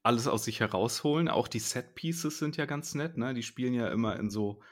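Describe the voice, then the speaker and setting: A man's voice: mid-range voice, a little fast. A man co-hosting a podcast, chatting casually and easily about a series, laughing now and then. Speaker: podcast co-host. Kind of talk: casual chat reviewing a series.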